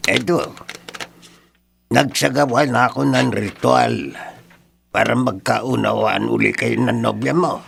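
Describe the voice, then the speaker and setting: Magaspang na matandang manggagamot. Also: male voice, medium pitch, unhurried, long pauses, a bit bright, faint music behind, heavily acted. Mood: insistent, scheming. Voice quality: gravelly, creaky. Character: older male ritual healer, insistent and mysterious. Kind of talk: Filipino horror radio drama acting.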